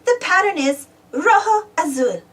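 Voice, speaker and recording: High-pitched, animated woman reading a storybook. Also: measured pace, far from the mic. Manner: exclamatory, addresses young listeners with questions. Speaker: storybook reader. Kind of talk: animated children's read-aloud narration.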